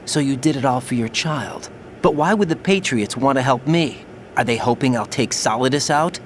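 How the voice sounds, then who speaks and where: A man voicing a young male soldier haunted by his past as a child soldier, in a video game cutscene with music under it, talking quietly and guardedly. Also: medium pitch, lively intonation, moderate pace, a little hiss or hum, natural voice. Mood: tense. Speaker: game character.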